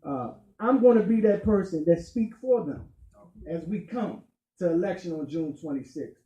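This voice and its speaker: A man speaking as a candidate at a community meeting, emphatic and deliberate, pausing on key points. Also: a little high, lively intonation, dark tone, lo-fi sound. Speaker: county council candidate. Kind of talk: campaign speech at community meeting. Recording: echoey meeting room.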